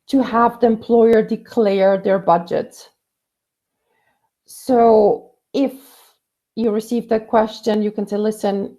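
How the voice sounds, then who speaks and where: Mellow-voiced woman giving career advice. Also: medium pitch. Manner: conversational, frequent fillers and restarts. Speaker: career coach.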